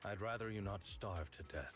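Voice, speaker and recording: An actor playing a stoic, quietly caring gentleman butler in a game, speaking in a measured, formal way. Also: fairly low pitch, natural voice. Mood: stern, caring. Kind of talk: scripted game story dialogue. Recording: music behind the voice.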